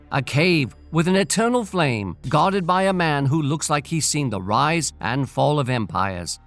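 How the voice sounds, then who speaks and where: A man's voice: fairly high pitch, fairly bright tone. A man telling a scripted story and adding playful, theatrical commentary as host.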